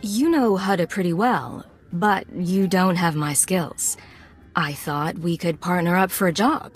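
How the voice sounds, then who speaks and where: An actress playing a confident young undercover agent in a video game, composed and curt. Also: female voice, lowish voice, measured pace, slightly rough, soft background music. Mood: cool, guarded. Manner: composed, curt, self-assured.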